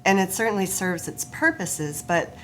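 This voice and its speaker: A woman's voice: fairly low pitch, sing-song, normal speed, fairly bright tone, slight background noise. A woman guest on a talk show, a forest therapist, explaining her work in an earnest, informative way. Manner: earnest, informative, laughs easily, some restarts.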